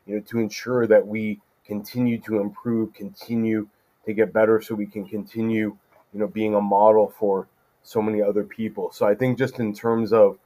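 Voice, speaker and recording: A middle-aged man from California, a judge as podcast guest, answering in a thoughtful, measured way with frequent fillers. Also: on the low side, little pitch movement, mellow. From California, USA. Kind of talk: casual interview conversation.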